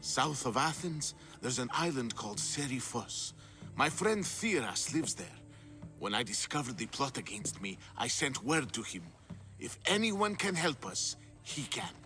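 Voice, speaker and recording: A man's voice: mid-range voice, sing-song, unhurried, pushed and bright, soft background music. An actor playing a fearful male artist on the run in a video game, agitated and earnest. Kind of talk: video game cutscene acting.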